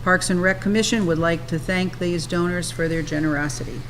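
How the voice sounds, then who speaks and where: A woman on a town council making formal motions, reading agenda items in a measured way with small hesitations. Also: on the low side, fairly even intonation, slight background noise, low-quality recording.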